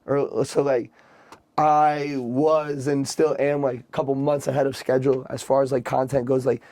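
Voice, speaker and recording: Mellow-voiced young man, rambling casual talk. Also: medium pitch, flat delivery, normal speed, no room sound. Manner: rambling, heavy with "like" fillers. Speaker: rapper guest. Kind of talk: casual podcast chat.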